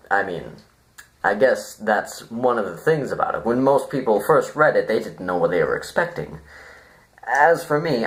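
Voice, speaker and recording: A man giving an informal, rambling critique to viewers, deadpan and self-deprecating, halting as he thinks aloud. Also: on the low side. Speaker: video reviewer.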